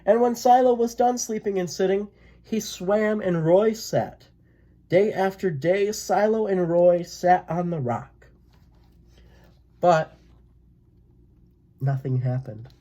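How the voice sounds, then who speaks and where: A person reading a children's picture book aloud in a gentle, expressive way. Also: mid-range voice, takes it slowly, long pauses. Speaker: read-aloud storyteller.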